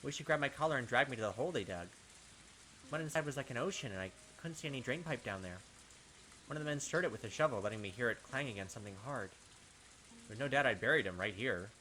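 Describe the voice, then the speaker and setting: A man's voice: medium pitch, lively intonation, fast, bright, forceful tone, rough recording. Man reading as a distraught, brooding young killer. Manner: brooding, insistent, repeating himself. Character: distraught, obsessive young male killer doubting his sanity. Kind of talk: dramatic visual novel narration. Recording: game noise behind the voice.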